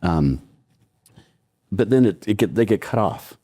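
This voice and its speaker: A man's voice: fairly low pitch, animated, unhurried, dark tone, husky, no room sound, clean studio sound. A man hosting a podcast who asks questions and shares his own stories in a casual, enthusiastic way, repeating words as he goes. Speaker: podcast host. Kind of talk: conversational podcast interview.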